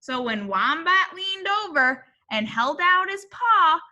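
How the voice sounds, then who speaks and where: A woman reading a picture book aloud in an animated, expressive way, as if to young children. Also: very high voice, takes it slowly, lo-fi sound. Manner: expressive, addressing young listeners.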